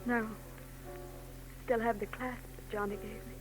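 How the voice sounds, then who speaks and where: An actress playing a soft-spoken, wistful young woman in 1940s radio-drama style. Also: on the high side, flat delivery, unhurried, over music, lightly acted, rough recording. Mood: sad.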